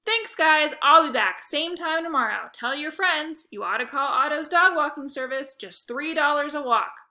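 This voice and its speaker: A woman's voice: high-pitched, animated, normal speed, sharp tone, pitched above the performer's own voice, full character voice. A woman voicing a cocky schoolboy hustler who talks in a brash, salesy way.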